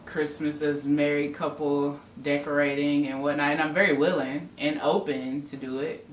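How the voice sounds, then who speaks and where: A woman from Indianapolis with a soft Southern lilt talks openly to camera, musing and trailing off. The sound is roomy and distant, with a faint hiss in the background. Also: sits low. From Indianapolis, Indiana, US Midwest. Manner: candid and reflective, trails off with "I don't know". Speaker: vlogger. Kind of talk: casual couple's chat to camera. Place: home room.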